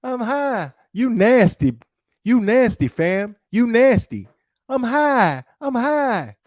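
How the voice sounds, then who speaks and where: A man's voice: very high voice, sing-song, slow pace, no room sound, studio quality, voice pushed up, exaggerated voice. A man doing a mocking impression of a whiny, stoned content creator, repeating the same lines in a sing-song way.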